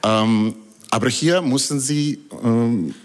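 A man speaking German as a second language, asking a question at a public forum, halting and reading from notes with many fillers. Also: medium pitch. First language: not German. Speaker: audience member asking a question. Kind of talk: public town hall question. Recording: hall reverb.

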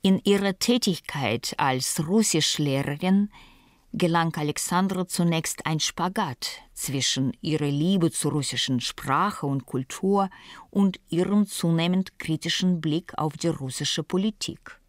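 Woman narrating a documentary, slow and measured, deep voice, dry close sound. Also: dry recording. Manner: measured, even delivery.

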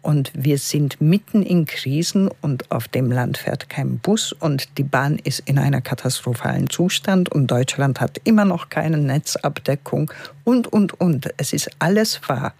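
A woman speaking as a guest on a radio talk show, earnest and expressive, rising in pitch to stress her points. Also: unhurried, vocal fry, slightly rough, dry recording. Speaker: studio guest.

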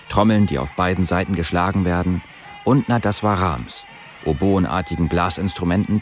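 A man's voice: low-pitched, darkish tone. A man reading scripted narration for a radio documentary.

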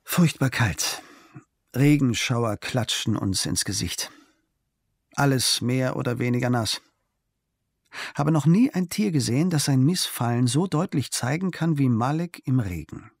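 A man's voice: medium pitch, creaky, dry recording, studio quality. A man reading a literary travel diary as scripted narration. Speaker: audiobook narrator.

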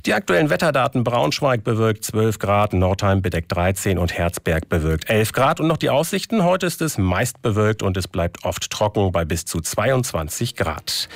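A man reading regional radio news in a measured, formal studio delivery. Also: fairly low pitch, sing-song, vocal fry, dry recording.

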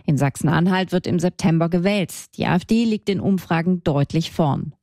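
A woman's voice: lowish voice, moderate pace, mellow, no room sound, studio quality. A woman delivering a scripted radio news report, formal and factual.

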